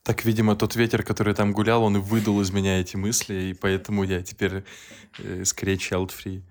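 A man chatting casually as a podcast co-host, dropping dry, quick remarks. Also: fairly low pitch, monotone.

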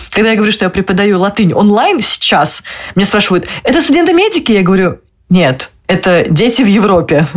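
Woman host asking questions. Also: on the high side. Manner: friendly, conversational questioning. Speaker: podcast host, Latin teacher. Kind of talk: casual interview chat.

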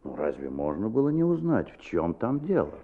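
A man's voice: lowish voice, wildly swooping pitch, brisk, phone-quality sound, background noise. A man acting as the reflective narrator of a children's radio play, telling the tale expressively. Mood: storytelling. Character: warm reflective male storyteller of a children's tale.

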